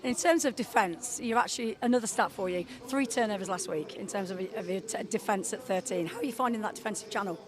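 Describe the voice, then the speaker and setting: A woman pitchside reporter on a live rugby broadcast, firing off brisk questions at a very quick pace. A stadium crowd is clearly heard behind her. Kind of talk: live sports broadcast interviewing.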